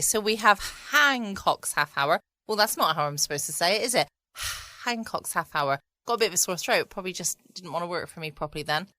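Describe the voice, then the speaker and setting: A woman's voice: low voice, pushed and bright, no echo, clean studio sound. A woman hosting a casual bedtime podcast from home. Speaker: podcast host. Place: at home, indoors.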